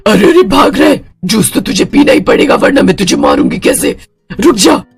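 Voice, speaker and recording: A gloating horror-story witch played by a man, high and gravelly. She taunts her victim with menace, swinging widely in pitch and cackling as she mocks. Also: very animated, soft background music, full character voice. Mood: taunting. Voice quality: raspy, cackling. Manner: mocking, drawn-out taunting. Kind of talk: Hindi horror story character acting.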